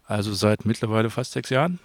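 A man's voice: lowish voice, fast talker, dry recording. A man working as an interviewer, asking questions in a casual, informal way.

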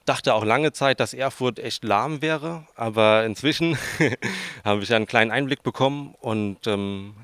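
Creaky young man with southern Hessian accent. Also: medium pitch, unhurried, a bit bright, no room sound. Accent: southern Hessian German. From southern Hesse, Germany. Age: 20s. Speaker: interviewee, student researcher. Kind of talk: informal interview answers.

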